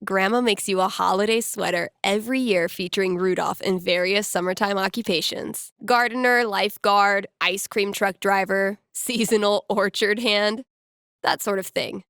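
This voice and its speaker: A woman's voice: mid-range voice, fairly even intonation, normal speed, a bit bright, no room sound, lightly acted. Woman acting young female game character, small-town radio DJ, wry, playful, teasing asides, conversational delivery, dry close sound. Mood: gentle, wry storytelling. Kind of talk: casual local radio patter in a video game.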